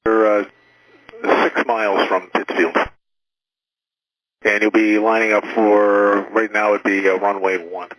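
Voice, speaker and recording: Low-voiced male air traffic controller on radio. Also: little pitch movement, fairly bright tone, no echo, poor audio. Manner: halting, procedural phrasing with frequent hesitations. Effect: aviation radio.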